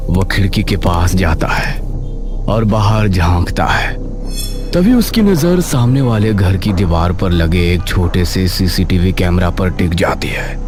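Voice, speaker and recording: A man narrating a scripted horror cartoon story in a slow, dramatic way. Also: fairly low pitch. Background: background music.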